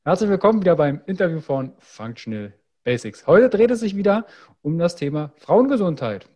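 A man's voice: high voice, big pitch swings, brisk, darkish tone, muffled recording. A man hosting a health podcast, reading an upbeat promotional intro and then interviewing a guest. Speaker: podcast host and health coach.

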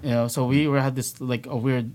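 A man's voice: medium pitch, level tone, fairly quick, darkish tone. Rambling man swearing casually. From Philippines. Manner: rambling, heavy fillers and frequent swearing. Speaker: band member, guest. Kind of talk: casual podcast interview.

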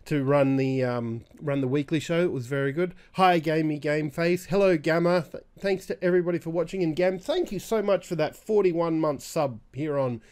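A man co-hosting a weekly gaming show, a little raspy after being sick, chatting casually with lots of restarts. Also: a little high, a little mellow. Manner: halting, many restarts and fillers. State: slightly raspy from recent illness. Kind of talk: casual chat.

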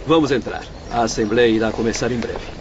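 An actor with a Brazilian accent playing a courteous, composed doctor who explains things formally in a radio drama. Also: male voice, medium pitch, brisk, close to an everyday voice. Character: courteous, composed male doctor guiding patients in the afterlife. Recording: dull lo-fi radio recording, faint noise.

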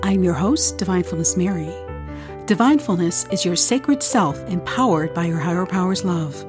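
A woman hosting a faith podcast, reading a measured introduction and then asking casual questions. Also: lowish voice, lively intonation, over music. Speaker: podcast host. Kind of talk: scripted introduction and interview questions.

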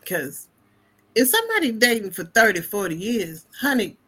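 A woman's voice: medium pitch, wildly swooping pitch, normal speed, fairly bright tone, husky. A woman hosting a podcast, chatty and laughing often in casual conversation. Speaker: podcast host. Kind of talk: casual chat.

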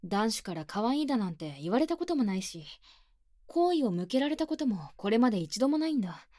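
A woman playing an earnest, shy, tomboyish senior high school girl in an ASMR voice drama, speaking plainly and hesitantly, often near a whisper, close on a binaural mic. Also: sing-song, a little fast, mellow, dry recording. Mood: self-deprecating, gentle. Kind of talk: Japanese ASMR situation voice drama. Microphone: close binaural microphone, dry studio.

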